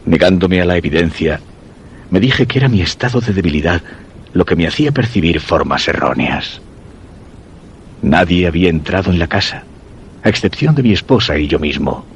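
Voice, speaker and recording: An actor playing a weakened, dread-stricken husband narrating a macabre tale in grave, measured Castilian Spanish for a radio horror drama. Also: male voice, low-pitched, lively intonation, a little hiss or hum. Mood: fearful dread. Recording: dull radio sound with music underneath.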